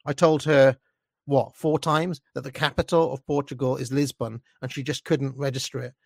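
A man's voice: medium pitch, steady tone, moderate pace, vocal fry, a little mellow, no room sound, clean studio sound. A man voicing a snobbish, petulant young male narrator of a story, with pompous, contemptuous, precise delivery. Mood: scornful. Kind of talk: first-person dramatic story reading.

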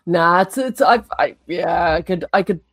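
A woman's voice: fairly low pitch, moderate pace, gravelly, no room sound, studio quality. A woman with an Irish accent, chatting casually as a guest and laughing easily. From Ireland. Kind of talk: casual chat.

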